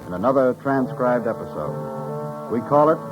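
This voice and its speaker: A male announcer in vintage 1950s radio style, dramatic and declamatory. His mid-pitched voice swings widely over prominent music. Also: animated, normal speed. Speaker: announcer and director. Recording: muffled old radio, loud music behind.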